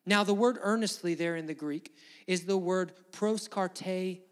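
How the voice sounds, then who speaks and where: A man's voice: high voice, normal speed, clean tone. A male pastor with a Southern Alabama accent preaching a fervent sermon to a congregation, emphatic, repetitive and exhorting. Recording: long hall reverb.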